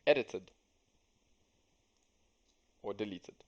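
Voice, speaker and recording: Young male, low register, scripted how-to narration for a software demo. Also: very slow, lo-fi sound. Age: young adult. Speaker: student software demonstrator.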